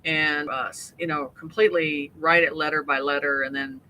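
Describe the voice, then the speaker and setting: A woman guest on a casual spiritual chat show, explaining things in a relaxed, rambling way full of fillers. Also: low-pitched, moderate pace, slight background noise, poor audio.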